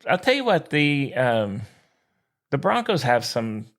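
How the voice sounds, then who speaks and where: A man co-hosting a sports podcast, bantering casually in an excitable, opinionated and slangy way. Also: mid-range voice, a little slow.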